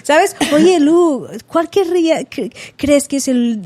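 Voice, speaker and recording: Spanish-accented woman from Catalonia, singer as podcast guest; higher-pitched, animated storyteller. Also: takes it slowly. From Catalonia, Spain. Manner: lively storyteller, acts out quotes, frequent restarts and laughter. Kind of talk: casual interview chat.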